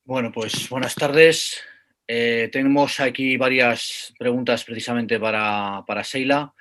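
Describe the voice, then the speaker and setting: A man's voice: mid-range voice, steady tone, measured pace, fairly bright tone. A man moderating a seminar session, reading audience questions aloud with some hesitations.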